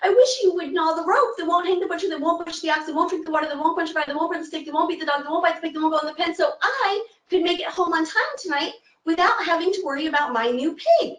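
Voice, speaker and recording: A woman doing a frantic, fast-talking little old lady. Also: very high voice, a bit bright, reverberant, recorded from a distance, a little hiss or hum. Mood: pleading. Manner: talks faster the more anxious she gets.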